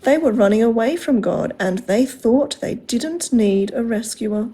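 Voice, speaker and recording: Female children's storyteller, unhurried, soft-edged mellow tone with some huskiness, expressive read-aloud delivery. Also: on the high side, breathy edge. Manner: expressive, simple phrasing addressed to children.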